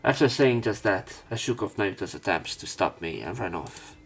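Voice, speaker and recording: A man's voice: lowish voice, expressive, normal speed. A man reading a visual novel aloud as a let's play narrator, dramatizing the script. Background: background music.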